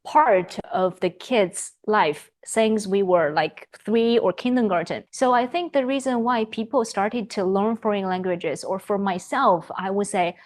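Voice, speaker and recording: A woman's voice: mid-range voice, unhurried, few pauses, a little mellow. Chinese woman hosting an interview. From China. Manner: friendly, encouraging, short prompts. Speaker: interviewer, video host. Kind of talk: casual interview.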